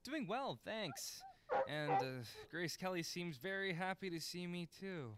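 An actor playing a playful young film fanatic in an audio drama, bantering and mock-formal. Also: male voice, high-pitched, big pitch swings, a little slow, heavy background noise. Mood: amiable.

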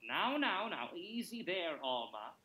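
A man's voice: sits high, very animated, a little slow, bright, forceful tone, roomy sound, full character voice. A man acting a glib, playful, reassuring character named Grant in a theatrical old-time radio-style drama, with wry banter. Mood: soothing.

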